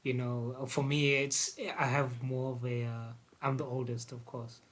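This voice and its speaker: A man with a medium-pitched, slightly raspy voice. He hosts a podcast, talking and asking questions in an informal, conversational way. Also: little pitch movement, unhurried, fairly bright tone. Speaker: podcast host. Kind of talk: casual conversational interviewing.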